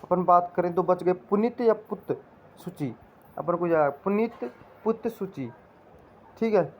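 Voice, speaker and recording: High-pitched male teacher, dull lo-fi audio. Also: unhurried, a little hiss or hum. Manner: repetitive, drilling explanations. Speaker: Hindi teacher for exam preparation. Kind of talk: instructional lecture with memory tricks.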